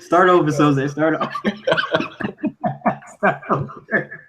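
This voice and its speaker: A man's voice: on the high side, takes it slowly, heavy background noise. A young man co-hosting a casual podcast, slangy and joking, repeating himself for emphasis. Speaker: podcast co-host.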